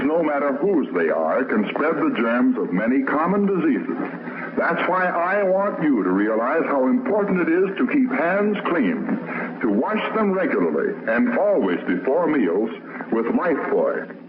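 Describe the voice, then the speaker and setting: A man's voice: mid-range voice, big pitch swings, measured pace, narrow, tinny audio, noisy background. A man earnestly delivering an instructive sponsor message on an old radio show, through a thin, echoey recording.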